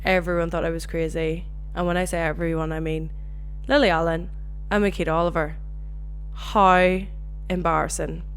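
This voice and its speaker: Northern irish girl rambling on her podcast, lowish voice, bit of hum. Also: normal speed. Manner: chatty, animated storytelling with swearing. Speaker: podcast host. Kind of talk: casual solo chat.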